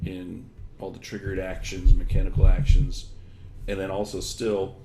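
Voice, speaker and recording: A man giving thoughtful, conversational interview answers, thinking aloud in halting, loose chains of examples. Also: deep voice, completely flat delivery, music in the background. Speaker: interviewed guest, education technology expert. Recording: echoey room, clear background noise.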